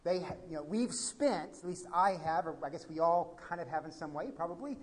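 A man in his thirties with an American accent, preaching a sermon from the pulpit and moving between quiet, reflective passages and emphatic appeals. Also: fairly high pitch, fairly quick. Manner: animated, gesturing, swings from quiet to emphatic. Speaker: pastor. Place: church sanctuary at a pulpit. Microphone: pulpit microphone. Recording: reverberant church hall.

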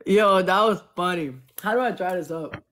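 A young man hosting a phone livestream, teasing his guest and shouting out viewers who send gifts. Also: high-pitched, normal speed. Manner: boastful, teasing, shouting out gifters. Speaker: livestream host. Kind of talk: casual livestream banter. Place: indoor room. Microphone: phone at arm's length.

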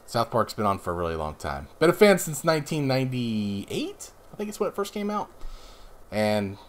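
A man in his thirties, a little hoarse today, casually explaining his moves while playing a space game, with rambling asides. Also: mid-range voice, sing-song, moderate pace. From Northeastern US. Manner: rambling, explanatory, self-deprecating. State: slightly hoarse. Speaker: let's play host. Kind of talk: casual gameplay commentary.

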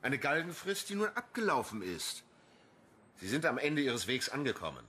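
An actor playing a cynical, menacing police official in a radio crime drama, taunting and deliberate. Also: male voice, mid-range voice, very animated, pushed and bright, a little hiss or hum. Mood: smug, threatening.

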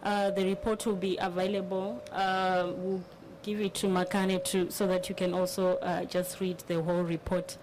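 Woman, panel speaker, halting delivery, conference hall, table mic. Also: on the low side, flat delivery. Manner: hesitant, many filler sounds and restarts. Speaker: panelist reporting recommendations.

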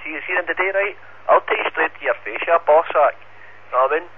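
A man's voice: a little high, fast talker. A Scottish man chatting casually as an amateur radio operator, confrontational and swearing heavily, over a two-way radio. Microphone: radio transceiver. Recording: tinny band-limited radio link, static noise.

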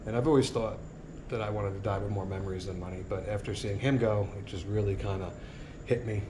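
Earnest low-voiced man on a porch. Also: fairly even intonation, fairly quick. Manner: earnest, rambling, motivational. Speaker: sailing vlogger. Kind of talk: casual reflective monologue. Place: outdoor porch by a marina. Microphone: action camera on a tripod a few feet away. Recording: outdoor ambience noise. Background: outdoor ambience.

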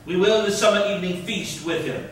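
An actor playing a proud, gracious Turkish ruler in stately verse at a staged reading. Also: male voice, high-pitched, brisk, fairly bright tone. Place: large indoor theatre stage. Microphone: far camera. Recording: distant echoey theatre stage, faint noise.

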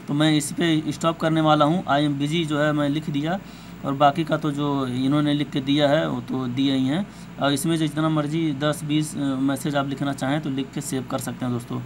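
Higher-voiced man giving phone tips over noise. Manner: repetitive, hesitant, addresses viewers as friends. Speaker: tech tutorial host. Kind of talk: informal step-by-step phone tutorial narration. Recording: clear background noise, amateur recording.

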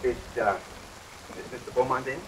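An actor playing a kindly, fussy old missionary doctor in an early 1930s film, hesitant, rambling and skeptical. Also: male voice, medium pitch, steady tone, moderate pace, lightly acted. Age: older. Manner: rambling, hesitant, punctuated with stammers. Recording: hissy 1932 film soundtrack, rain behind.

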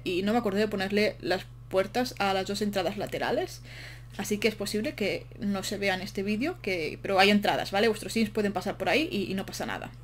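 A woman's voice: mid-range voice, a little hiss or hum. Chatty woman, rambling and short of breath. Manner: chatty, rambling, self-mocking asides. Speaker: game build commentator. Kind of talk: casual voice-over while building in a game.

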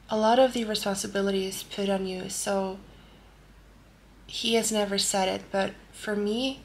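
A woman in her thirties with a Norwegian accent, answering viewer questions calmly while lying in bed, recorded by a close camera in a bedroom. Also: mid-range voice, creaky, slight background noise. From Norway. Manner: calm and gentle, lying in bed while talking. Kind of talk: soft-spoken casual Q&A. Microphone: camera close at face level.

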